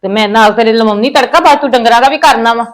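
A woman playing a fast-talking bossy sister-in-law. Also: fairly high pitch, natural voice. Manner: coaxing, lecturing. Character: married sister-in-law, soothing yet bossy. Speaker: actor in a family skit.

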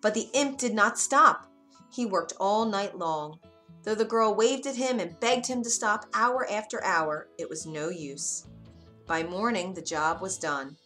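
A woman narrating a children's bedtime story in an expressive, gentle way. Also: medium pitch, measured pace. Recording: soft music bed behind.

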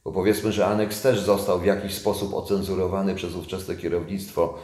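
Deep-voiced emphatic older man. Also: steady tone, fairly quick, darkish tone. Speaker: former intelligence officer guest. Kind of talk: political interview. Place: room with leather sofa, indoors. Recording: echoey room.

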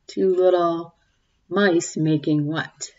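Slow, low-pitched woman reading to children. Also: lo-fi sound. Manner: expressive, child-directed, stopping to ask questions and comment. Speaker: teacher reading aloud to young children. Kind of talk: picture book read-aloud with questions to children. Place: small home room, indoors. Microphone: webcam or laptop mic at desk distance.

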